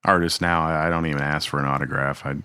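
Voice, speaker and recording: Deep-voiced man, laid-back storyteller. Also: fast, clean tone, no room sound, clean studio sound. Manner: relaxed storytelling, many fillers. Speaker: podcast co-host. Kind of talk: casual chat.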